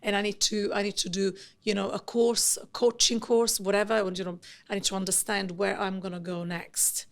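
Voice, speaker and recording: A woman's voice: medium pitch, brisk, vocal fry, few pauses. Effusive Italian woman, podcast guest. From Italy. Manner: effusive, animated, talks in long run-on thoughts. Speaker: guest, company founder. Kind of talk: podcast interview conversation.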